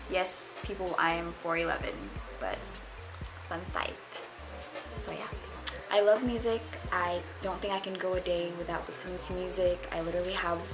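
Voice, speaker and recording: A twenty-year-old woman from the Philippines, chatting casually and candidly as a vlogger introducing herself. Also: on the low side. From Philippines, moved to the United States at eleven. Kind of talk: casual get-to-know-me chat. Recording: music playing behind the voice. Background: music.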